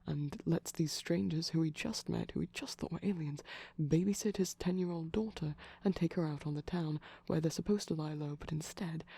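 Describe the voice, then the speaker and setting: A young adult performer playing a shy, nerdy boyfriend in a casual, soft-spoken ASMR ramble, enthusiastic but hesitant. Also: medium pitch, vocal fry, breathy edge, dry recording.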